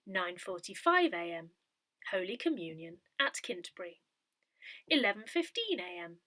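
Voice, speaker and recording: A woman reading a written script aloud in a measured, carefully phrased way. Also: medium pitch, pushed and bright, no room sound. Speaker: volunteer reader of an audio parish newsletter. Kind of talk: scripted read-aloud of articles, poems and notices.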